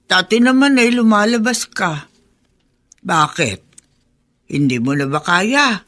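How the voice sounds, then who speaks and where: An older, concerned friend in a Filipino radio drama. She speaks slowly with big swings in pitch, coaxing and inquisitive, in a Filipino accent. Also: lively intonation, a bit bright. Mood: friendly, coaxing. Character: concerned, chatty elderly female friend.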